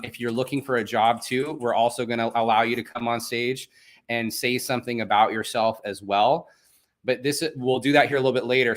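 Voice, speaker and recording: A man's voice: medium pitch, fairly bright tone. A man from Venezuela, a native Spanish speaker, hosting a live online event in a chatty, upbeat way with many fillers. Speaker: host.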